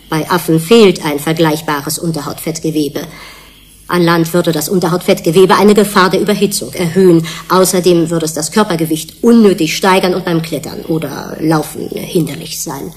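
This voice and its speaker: An actress playing an earnest scientist in a German radio drama, lecturing colleagues in a measured, precise, didactic way. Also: female voice, fairly low pitch, darkish tone, a little hiss or hum, close to an everyday voice.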